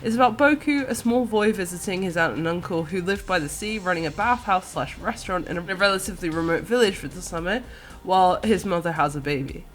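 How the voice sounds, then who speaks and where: A woman's voice: medium pitch, fairly bright tone. A woman giving an enthusiastic, earnest personal video game review, partly scripted and partly conversational. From countryside. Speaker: podcast guest reviewer. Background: music.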